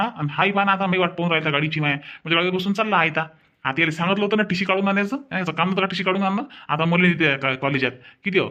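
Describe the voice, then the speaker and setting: A man's voice: sits high, pushed and bright, dull audio. A man voicing an indignant, grumbling village family man in a comedy cartoon, with a Varhadi Marathi accent, repeating his phrases.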